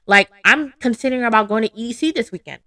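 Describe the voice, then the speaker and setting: A woman's voice: a little high, fast, sharp tone, no echo, studio quality. A woman in her late twenties, a podcast guest in casual chat, chatty and giggly, saying "like" a lot. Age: 20s.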